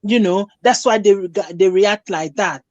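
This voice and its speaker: A woman's voice: mid-range voice, normal speed, a little mellow, no room sound, highs cut off. A Ghanaian woman in a group discussion, telling her story emphatically and with indignation. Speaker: panelist. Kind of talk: casual discussion.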